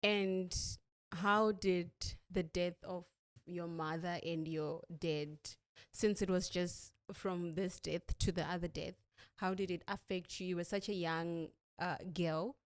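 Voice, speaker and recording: Low-pitched woman hosting a podcast. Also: steady tone, slow. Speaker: podcast host and interviewer. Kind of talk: interview with a scripted-sounding introduction.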